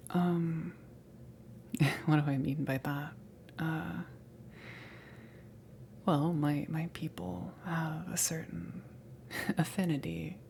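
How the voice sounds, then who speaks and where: Woman acting as friendly alien woman, low voice, slow halting delivery, soft ASMR roleplay, awkward and flustered. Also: slight background noise, exaggerated voice. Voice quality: soft, breathy half-whisper. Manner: hesitant, awkward, giggly, halting.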